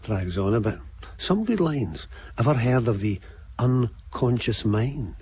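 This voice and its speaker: A man's voice: medium pitch, expressive, takes it slowly, a little mellow, breathy edge, full character voice. An actor playing a sardonic, cocky older Scottish man who is someone's unconscious mind, bantering sarcastically in a radio drama.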